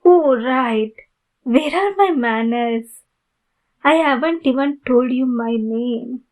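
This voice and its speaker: A woman playing a polite, pleading, youthful-looking vampire in an ASMR roleplay, speaking formally and courteously with many pauses. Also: sits high, a little mellow. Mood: polite, demure. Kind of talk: ASMR roleplay addressed to the listener.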